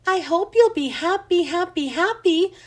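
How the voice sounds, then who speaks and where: A little storybook hamster, played by a woman, chirpy and eager to please. The voice is high and bounces widely in pitch, with emphatic, sing-song phrasing and a friendly, slightly prissy air. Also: lively intonation, unhurried. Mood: perky, friendly. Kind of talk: children's storybook read-aloud.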